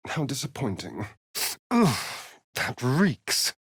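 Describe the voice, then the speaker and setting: Casting: sly theatrical vampire spawn, mid-pitched, arch drawl, unhurried, very expressive, game dialogue. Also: male voice, husky, dry recording, studio quality, exaggerated voice. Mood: disdainful, sardonic. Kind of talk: video game character voice acting.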